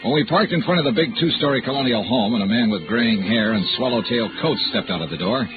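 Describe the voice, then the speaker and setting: A man's voice: mid-range voice, lively intonation, fast, over music, close to an everyday voice. Man acting a wry radio detective, tinny vintage sound. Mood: dry, narrating. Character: brisk, wry freelance male insurance investigator.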